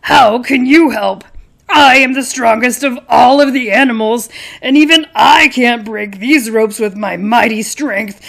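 A woman's voice: on the high side, vocal fry, fairly bright tone, exaggerated voice. A woman voicing a proud, boastful male lion in a children's puppet show, with theatrical, roaring delivery. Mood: despairing, melodramatic. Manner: melodramatic, roaring and drawn-out.

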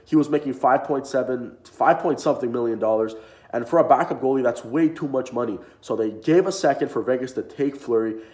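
A man's voice: mid-range voice, fairly quick, a little mellow. A young man with a stuffy, sick-sounding voice giving casual hockey commentary to camera from his garage, rambling with many fillers. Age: young adult. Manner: rambling, lots of "uh" and "you know". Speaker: hockey vlogger. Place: home garage, indoors. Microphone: built-in camera mic, close front framing. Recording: echoey garage room.

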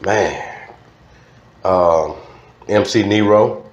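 An older man with a Louisiana Southern accent, reminiscing casually as an interview guest in an unhurried, folksy way. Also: sits low. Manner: unhurried, folksy, with frequent "man" and "you know". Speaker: interview guest, music promoter. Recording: roomy, dull lo-fi sound.

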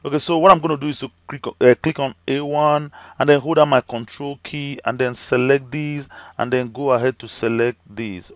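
A man's voice: mid-range voice, no room sound. A male teacher talking through a screen-recorded spreadsheet lesson, chatty, with many fillers, his voice slightly cracking. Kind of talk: screen-recorded software tutorial.

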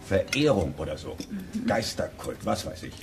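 An actor playing an easygoing village uncle in a children's radio play, speaking in a folksy, chuckling way. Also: male voice, deep voice, very animated, normal speed, vocal fry, slight background noise, exaggerated voice. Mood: gossipy, relaxed.

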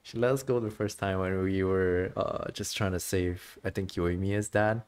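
A streamer giving casual, chatty commentary while playing a game, musing out loud in an informal way. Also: deep voice, steady tone, normal speed, clear, resonant tone. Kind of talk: casual game livestream commentary, reading dialogue aloud.

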